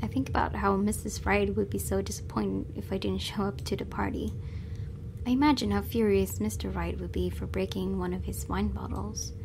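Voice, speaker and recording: A woman's voice: medium pitch, fairly even intonation, normal speed, gravelly, noisy background. A woman let's player reading horror game narration aloud, with casual reactions of her own.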